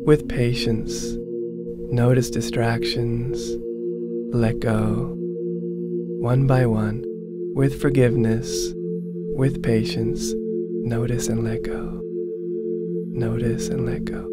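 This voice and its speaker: Slow-paced man guiding a meditation over music. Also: mid-range voice, little pitch movement. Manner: soothing, measured, instructional, repeats phrases slowly. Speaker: meditation guide, doctor. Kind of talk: guided meditation narration. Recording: prominent meditation music with singing bowls. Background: meditation music, singing bowl tones.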